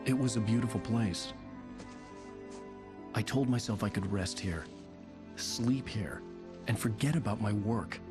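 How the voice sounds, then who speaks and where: An actor playing a troubled, quick-tempered writer and devoted husband in a brooding, intense video game performance. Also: male voice, fairly low pitch, over music, close to an everyday voice. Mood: brooding, reflective. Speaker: protagonist and narrator. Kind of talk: cinematic game acting with brooding first-person narration.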